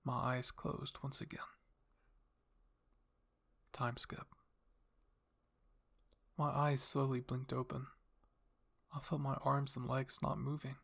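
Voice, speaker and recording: A man softly narrating fan fiction in an ASMR style as the channel's host. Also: mid-range voice, level tone, slow, creaky, long pauses, slightly rough.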